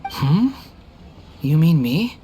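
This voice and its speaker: A man's voice: on the high side, sing-song, drawn-out words, warm tone, a little hiss or hum, heavily acted. A man playing a polite, eager young shop helper in a video game, deferential and earnest. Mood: apologetic.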